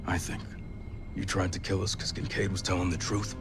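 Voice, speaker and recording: A man's voice: deep voice, flat delivery, a little fast, music in the background, lightly acted. An actor playing a tough male marine squad leader in a game cutscene, urgent and accusing. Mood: tense.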